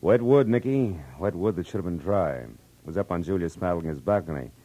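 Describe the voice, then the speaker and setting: A man's voice: low voice, lively intonation, a little fast, warm tone. An actor playing a wry, hard-boiled American private eye who talks in easygoing tough-guy slang in a 1940s radio drama. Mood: explaining, confident. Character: hard-boiled, easygoing male private eye, narrating his own case. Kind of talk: 1940s radio detective drama acting and narration.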